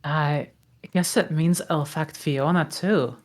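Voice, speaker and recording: A woman reading a gruff male ogre in love at a table read, low and gruff. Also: fairly even intonation, slow. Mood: musing.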